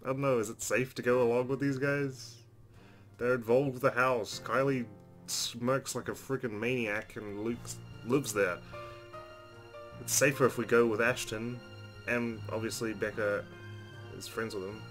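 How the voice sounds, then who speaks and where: A man's voice: mid-range voice, steady tone, measured pace, fairly bright tone, faint music behind. A man hosting a let's play, reading visual novel narration aloud and adding casual commentary.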